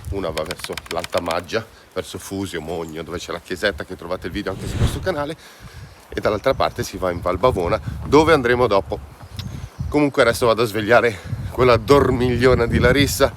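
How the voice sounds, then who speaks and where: Guy w doing a travel vlog, informal n playful, outside w clear ambient noise. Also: deep voice. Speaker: vlog host. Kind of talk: casual travel vlog chat to camera. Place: outdoors in a mountain valley.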